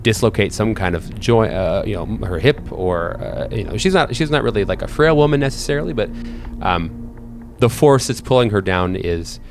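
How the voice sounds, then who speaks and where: A man working as game master, improvising horror narration in a halting way, often repeating words as he thinks aloud. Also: fairly low pitch, expressive, music in the background.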